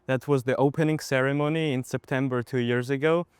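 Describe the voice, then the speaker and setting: A young man with a Georgian accent speaks in a crisp, resonant voice of medium pitch. He answers interview questions articulately as a student, recorded dry and close. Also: steady tone, fairly quick, no echo. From Georgia, Tbilisi. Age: 20s.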